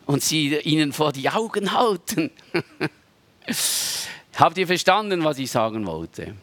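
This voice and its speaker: Preacher, unhurried conversational sermon, rather high voice, keeps checking for agreement. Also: male voice, no room sound. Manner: conversational, seeks agreement with frequent rhetorical tags, dips to soft asides.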